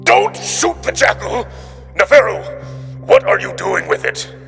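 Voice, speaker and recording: A man's voice: high-pitched, wildly swooping pitch, a little slow, fairly bright tone, pitched above the performer's own voice, heavily acted. A man voicing an irritable, domineering wolf emperor with a strained, growling delivery, as if over an intercom in a visual novel drama. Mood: angry, urgent. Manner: strained, breathy, growly barking. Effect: intercom speaker. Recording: long reverb, music behind.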